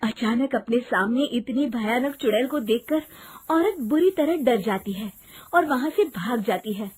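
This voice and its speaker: A woman's voice: a little high, lively intonation, measured pace, a little mellow, slight background noise. A woman narrating a scripted children's story.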